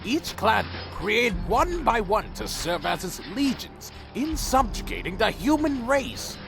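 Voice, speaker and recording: Ancient vampire clan lord for fantasy audio drama: proud, brooding, contemptuous, higher-pitched, very slow delivery. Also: male voice, sing-song, gravelly, full character voice. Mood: imperious. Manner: slow, theatrical, brooding and menacing. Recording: faint music under the voice.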